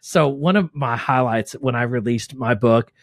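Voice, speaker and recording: A middle-pitched man in his forties hosts a casual podcast from a home studio. His voice is close and dry on a large studio mic. He talks in an easy, storytelling way. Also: no echo. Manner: affirming, quick backchannels. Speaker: podcast host. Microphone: large studio mic with headphones.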